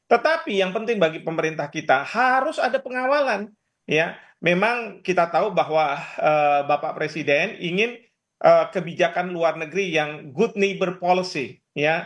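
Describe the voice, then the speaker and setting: A man giving expert analysis in an interview, explaining in a halting way. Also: sits high, a bit bright. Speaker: expert guest, professor.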